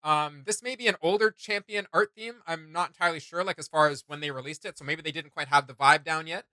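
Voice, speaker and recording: A man's voice: on the high side, fast talker, bright, forceful tone, no room sound. A man hosting a reaction video, commenting casually in informal, slangy phrasing. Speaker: YouTube reaction host. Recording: music playing behind the voice. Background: music.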